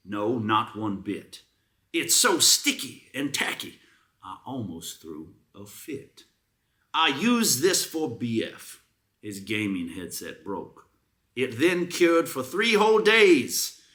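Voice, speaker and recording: A man's voice: mid-range voice, a bit bright. A man with a Southern US accent co-hosting a comedy show, mixing casual banter with theatrical, mock-serious readings of product reviews.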